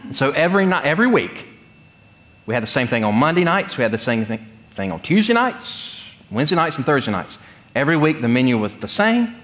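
A middle-aged man from North Carolina, preaching in a conversational, humorous storytelling way with deliberate pauses. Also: mid-range voice, big pitch swings, fairly bright tone. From North Carolina, United States. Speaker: pastor. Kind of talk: sermon with personal storytelling. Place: church sanctuary, speaking from the pulpit.

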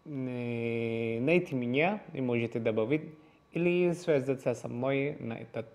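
A man's voice: medium pitch, unhurried, a little mellow. Hesitant man with an Indonesian accent. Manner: hesitant, many fillers and restarts, repeats phrases. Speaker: language teacher. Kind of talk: slide-based language lesson. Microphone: voice-over on slides.

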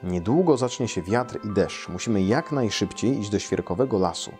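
A patient, caring mother bear from a children's storybook, read by a man. The voice is on the low side, calm and instructive, guiding a young cub. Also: normal speed, soft background music, lightly acted. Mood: gently warning.

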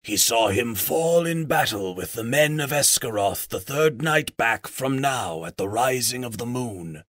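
A man voicing a slow, croaking ancient raven. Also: mid-range voice, animated, a bit bright, dry recording, studio quality. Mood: grave, weary. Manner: slow, formal, archaic counsel. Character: decrepit ancient raven chief, solemn and wise.

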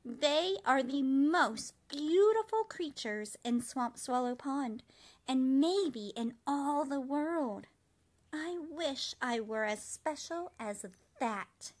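A woman's voice: high voice, lively intonation, slow, voice pushed up. A woman voicing a sad, self-doubting little male cricket in a storybook, plaintive and wistful. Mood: envious, upset. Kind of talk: storybook character reading.

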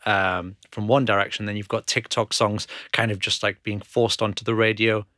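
A man's voice: fairly low pitch, flat delivery, moderate pace, pushed and bright, no echo, studio quality. A man in his thirties asking casual questions as a podcast interviewer. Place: small podcast studio. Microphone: desk microphone.

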